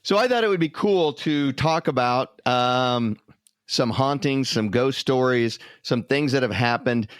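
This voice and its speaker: A man's voice: mid-range voice, measured pace, clear, resonant tone, dry recording, clean studio sound. A man co-hosting a podcast in a casual, conversational storytelling style. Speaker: podcast co-host.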